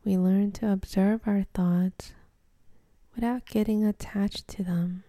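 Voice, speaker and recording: A woman guides a meditation in a very soft, mellow voice, half-whispered and gentle. She speaks slowly, leaving space between short instructions. The sound is dry and close, with no room around it. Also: medium pitch, steady tone, no room sound. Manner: gentle, unhurried instruction with pauses.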